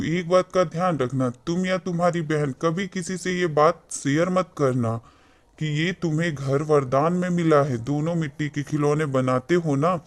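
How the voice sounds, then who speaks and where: Cartoon swami, played by a man: fairly high male voice, benevolent, gently instructing, grants wishes. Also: natural voice. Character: kind, wish-granting male holy man. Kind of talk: cartoon moral story acting.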